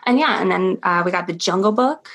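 Young woman, gravelly fry, chatty interviewee with easy laughs. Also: mid-range voice, animated, a little fast, a bit bright. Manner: chatty, laughs often, many ums. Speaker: interview guest, stage performer. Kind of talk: casual interview chat.

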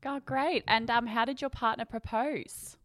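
A woman's voice: fairly high pitch, fairly even intonation, normal speed, a bit bright, dry recording. A woman hosting an interview, polite and enthusiastic as she introduces her guest. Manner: polite, enthusiastic, uses "um" as filler. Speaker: interview host. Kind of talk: casual interview opening.

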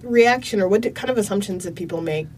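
A woman's voice: medium pitch, quick pace, a little mellow, far from the mic. A woman interviewing a guest, asking curious, probing questions in a conversational way. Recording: voice a bit off-mic with faint hiss.

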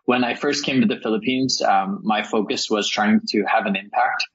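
A man in his forties from Texas, telling his life story in a relaxed, rambling, self-deprecating way as an interview guest. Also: lowish voice, moderate pace, slightly rough. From El Paso, Texas, USA. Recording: muffled lo-fi audio.

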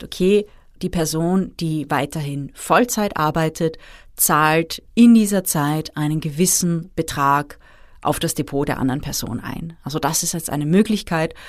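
Woman in her 30s, lowish voice, methodical expert guest, dry close sound. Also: no echo. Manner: explanatory, methodical. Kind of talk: interview answers.

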